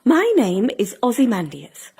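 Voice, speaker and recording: Slow, solemn Victorian wife. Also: female voice, a little high, very animated, darkish tone, breathy edge, exaggerated voice. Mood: solemn, cautioning. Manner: measured, solemn, poetic. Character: Victorian wife, pious, gently cautioning her ambitious husband. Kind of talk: theatrical audio drama acting.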